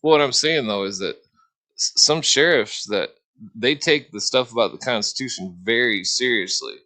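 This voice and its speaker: A man's voice: mid-range voice, normal speed, sharp tone. A man chatting casually on a livestream, telling anecdotes in a rambling way with repeated phrases. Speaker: livestream talker. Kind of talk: casual chat.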